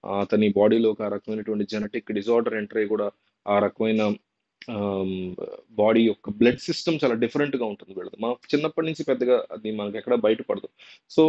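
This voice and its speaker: A man's voice: on the low side, fairly even intonation, fast, darkish tone. A man presenting an informal unscripted explainer, repeating and rephrasing points and mixing in English terms. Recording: dull, lo-fi sound.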